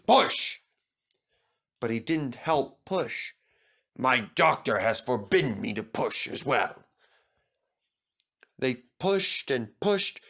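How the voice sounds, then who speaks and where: Slow, expressive man reading a children's story. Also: on the high side. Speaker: storybook reader. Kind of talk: scripted children's story narration.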